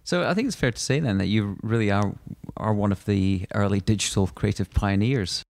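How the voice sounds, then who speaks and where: A man hosting a podcast, reading a scripted guest introduction and then asking measured, earnest questions. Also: fairly low pitch, creaky, darkish tone, dry recording, clean studio sound. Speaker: podcast host. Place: worked in London.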